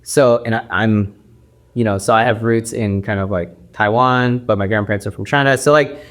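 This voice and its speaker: A man's voice: lowish voice, steady tone, normal speed, fairly bright tone. A man guest on a podcast who talks in a loose, rambling way, with many fillers and restarts. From United States. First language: Mandarin. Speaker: actor guest. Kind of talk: casual interview talk.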